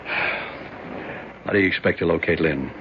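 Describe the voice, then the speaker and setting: An actor playing a proud, worried older senator and father in a 1970s radio drama, speaking gravely. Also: low-pitched, slow pace. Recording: dull, noisy vintage radio recording.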